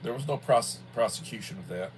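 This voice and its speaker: A man in his early sixties chatting casually on a livestream, with a halting, folksy manner and a habit of repeating himself. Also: medium pitch, noisy background. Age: early 60s. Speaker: livestream host, school worker. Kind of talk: casual rambling livestream chat.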